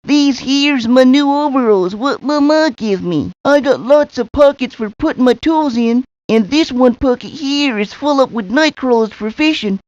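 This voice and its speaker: Woman as a folksy little country boy, Southern drawl, high voice, cartoon game, dull dry lo-fi sound. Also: moderate pace, few pauses, darkish tone, dry recording, lightly acted. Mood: folksy, eager. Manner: drawling, folksy backwoods talk. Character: folksy country boy who loves fishing and eating. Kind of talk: cartoon video game character lines. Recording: dull lo-fi 1990s game audio.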